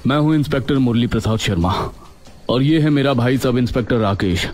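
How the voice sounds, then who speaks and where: A man's voice: medium pitch, fairly quick, a little mellow, soft background music, natural voice. A man playing a resolute, commanding undercover police inspector in a story. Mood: firm.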